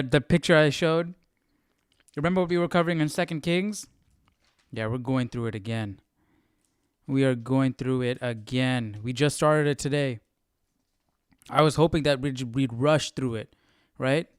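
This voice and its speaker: A male livestream host talks casually and conversationally. His voice is smooth and clean, recorded dry and close with no room sound. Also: medium pitch, no room sound. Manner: informal, conversational. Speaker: livestream Bible study host.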